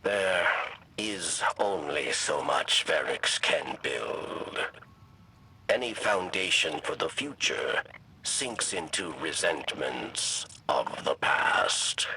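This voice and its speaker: Very deep, croaking old alien. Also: male voice, sharp tone, a little hiss or hum, heavily acted. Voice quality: gravelly, croaking. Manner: halting, cryptic, refers to himself in third person. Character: old, scheming, weary male insectoid alien elder. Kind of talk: video game dialogue acting.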